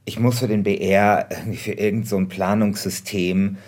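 A man's voice: lowish voice, steady tone, normal speed, a little mellow. A man talking casually and unscripted as a co-host in a discussion. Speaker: podcast co-host and radio play writer.

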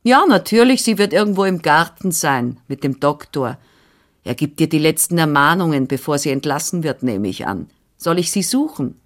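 Woman reading as a brisk, bossy older housekeeper. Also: low voice, very animated. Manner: firm, chatty, managing.

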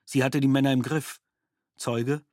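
A sober male court reporter, played by an actor in a documentary radio play. He relays witness statements in clipped, factual phrases. The voice sits at a middle pitch in a very dry studio sound. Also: fairly even intonation, a little slow, darkish tone, no echo, close to an everyday voice. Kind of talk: documentary radio play, read trial protocol.

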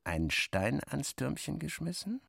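A man narrating literary prose in the first person, wry, clipped and expressive. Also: fairly high pitch, a little slow, gravelly, background noise.

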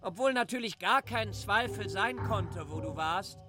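A man's voice: high voice, expressive, unhurried, music in the background. A man playing a complaining, insistent former assistant who is no longer young, in a radio drama. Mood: self-satisfied. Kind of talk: literary radio drama acting.

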